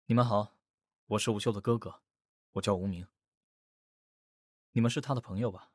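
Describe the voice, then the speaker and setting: A man's voice: on the low side, steady tone, moderate pace, no echo, lightly acted. Courteous man, dry close sound, an actor's performance. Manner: polite, hospitable. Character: courteous adult elder brother, an architect. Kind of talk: audio drama acting.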